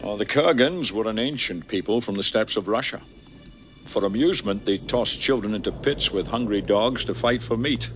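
A man playing a knowing teller of savage ancient history in a film, measured and matter-of-fact. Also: on the low side, fairly bright tone, lightly acted. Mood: grim. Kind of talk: film dialogue, expository storytelling. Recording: film clip with music underneath.

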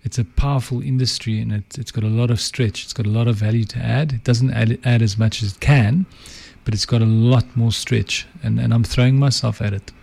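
Gravelly Afrikaans-accented middle-aged man. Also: mid-range voice, steady tone, brisk, hardly any pauses, darkish tone. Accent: Afrikaans South African. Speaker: guest, advertising entrepreneur and author. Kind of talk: reflective interview talk.

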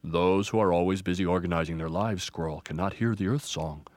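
Ancient male storybook tree acted by a man: low, booming, solemn, wise and serene. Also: fairly even intonation, darkish tone, dry recording, close to an everyday voice. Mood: patient teaching. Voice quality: deep, booming. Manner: slow, solemn.

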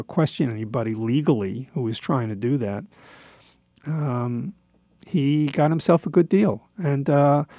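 A man in his seventies talks through his thoughts in a gravelly, creaky voice at a middling pitch. His delivery is a halting, rambling personal monologue, reflective and self-deprecating. The recording is close and dry. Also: mellow, no echo. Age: 70s.

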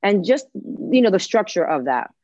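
A woman's voice: medium pitch, sing-song, normal speed, a little mellow, no echo, highs cut off. A woman from Cuba who grew up in Washington D.C., speaking casually and emphatically as an interview guest. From born in Cuba, grew up in Washington D.C.

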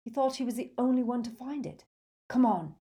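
A woman's voice: a little high, mellow, pitched above the performer's own voice, natural voice. A woman voicing a determined teenage boy hero who talks urgently. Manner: urgent, fast-talking.

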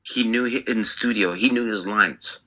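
Man, interview guest, low voice, forceful bright tone, animated storytelling, muffled audio. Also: normal speed. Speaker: record producer guest.

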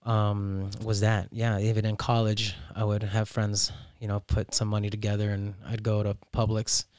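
A man in his thirties speaks as a guest in a casual interview. His voice is fairly low and smooth, recorded dry and close. He thinks aloud informally, pausing and peppering his talk with "you know". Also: completely flat delivery, darkish tone, dry recording. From Miami, United States, born in Peru. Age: 30s. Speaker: interview guest, restaurant owner.

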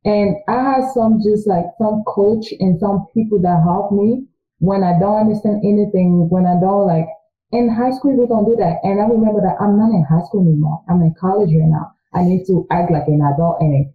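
A woman's voice: medium pitch, fairly even intonation, moderate pace. A young woman from Congo whose first language is French, talking earnestly and chattily as an interview guest. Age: young adult. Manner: earnest, chatty, quoting her own thoughts aloud. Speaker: guest, recent college graduate. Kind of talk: casual interview talk. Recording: tinny phone-like line, echoey room.

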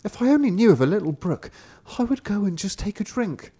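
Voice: medium pitch, very animated, brisk, warm tone, breathy edge, voice pushed up. A reader voicing a thirsty young boy, the brother in a fairy tale, in a raised, whiny, melodramatic voice.

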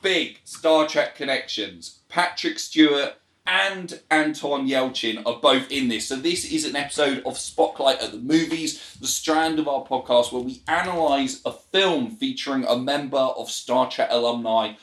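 A man with a medium-pitched voice hosts a casual podcast discussion. He introduces and questions in a chatty way, and his voice carries a clear roomy echo. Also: measured pace, a bit bright. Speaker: podcast host. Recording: roomy, echoing room sound.

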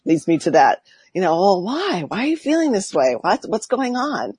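Woman life coach, husky voice, dry close sound, reflective and laughing. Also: mid-range voice, sing-song, moderate pace, a little mellow, no room sound. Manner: reflective, laughing, thinks aloud with fillers. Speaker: podcast guest, life coach. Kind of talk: casual interview chat.

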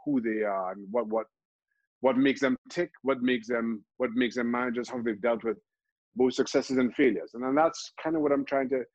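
A middle-aged man from Trinidad and Tobago, a football pundit, answering interview questions in a halting way, restarting and repeating words often. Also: mid-range voice, darkish tone, dry recording, muffled recording. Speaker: football pundit and show host.

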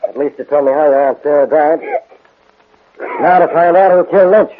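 A man playing a gruff, grudging, skeptical police inspector in a 1940s radio crime drama, blustery and sardonic. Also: fairly high pitch, fairly quick, a little hiss or hum, natural voice. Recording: muffled narrow-band vintage 1940s radio recording.

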